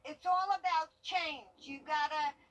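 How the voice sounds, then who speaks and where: Older woman, New York accent, very high bright voice, brassy and bossy, distant outdoor recording. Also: unhurried, dull audio. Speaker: school crossing guard. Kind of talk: interview soundbites.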